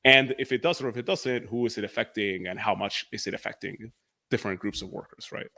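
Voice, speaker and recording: A man's voice: on the low side, a little fast, creaky, bright, forceful tone. A man from Argentina speaking as an expert guest, explaining things informally in a casual interview. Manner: informal, explanatory, peppered with "like" and "right?". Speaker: economics professor, podcast guest.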